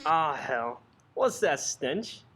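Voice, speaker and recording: A man's voice: a little high, very animated, extremely slow, heavy background noise, full character voice. A man playing a bitter, boozy working-class husband with slangy, melodramatic ranting in an old-fashioned radio play parody. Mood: bitter, anguished.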